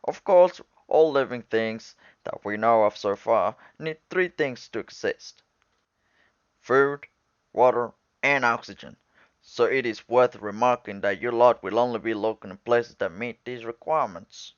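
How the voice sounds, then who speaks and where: A man's voice: mid-range voice, clean tone, dry recording, close to an everyday voice. Man acting as a formal alien commander, dull dry recording. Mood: calm, authoritative. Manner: formal, explanatory, measured. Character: alien aquatic commander, patient, proud, octopus-like mentor. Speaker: commander briefing trainees. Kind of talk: animated film dialogue.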